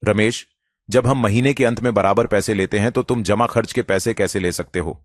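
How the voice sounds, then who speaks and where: A man's voice: fairly low pitch, a little fast, dry recording, close to an everyday voice. A man voicing an earnest elder brother and anxious shopkeeper father in a cartoon. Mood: reproachful. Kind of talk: cartoon voice acting.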